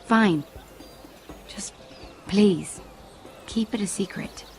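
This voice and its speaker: An actress playing a genteel young handmaiden in a video game, confiding and somewhat hesitant. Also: female voice, mid-range voice, drawn-out words. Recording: faint music behind.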